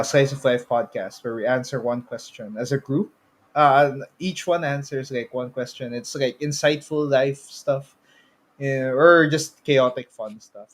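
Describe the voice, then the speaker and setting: A man with a Filipino accent co-hosting a podcast in casual, somewhat halting chat. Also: medium pitch. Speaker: podcast co-host.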